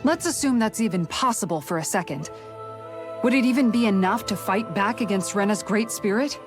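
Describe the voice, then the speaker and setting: A woman's voice: medium pitch, a little fast, music in the background, natural voice. A woman playing a caring, level-headed young warrior in a video game cutscene, with composed speech. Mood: questioning.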